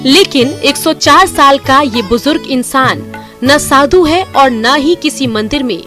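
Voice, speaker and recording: A woman's voice: high voice, measured pace. A woman reading a scripted human-interest news voice-over. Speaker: news story narrator. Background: clear background music.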